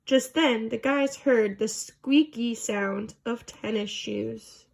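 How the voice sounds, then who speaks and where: A woman's voice: a little high, slow pace, gravelly, a little mellow. A woman reading a children's storybook aloud as a narrator.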